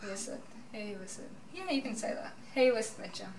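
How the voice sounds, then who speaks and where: A young woman with a Dutch accent, chatting casually and encouragingly on a couch in a bright lobby. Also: a little high, lively intonation, fast. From Netherlands. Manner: giggly, encouraging. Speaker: guest teacher. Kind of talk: casual chat. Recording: roomy distant mic, faint hum.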